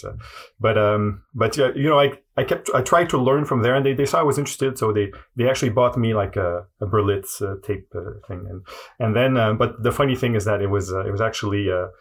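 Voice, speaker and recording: A man with a Quebec French accent speaks in a lowish voice. He answers questions hesitantly, trailing off and restarting, with a steady stream of fillers. French is his first language. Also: creaky. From Montreal, Quebec. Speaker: guest. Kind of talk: casual interview answers.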